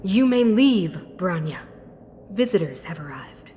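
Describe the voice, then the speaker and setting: An actress playing a regal, composed supreme ruler in a video game, stately, deliberate and condescending. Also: female voice, on the low side, big pitch swings, mellow. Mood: cool, commanding. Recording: long hall reverb.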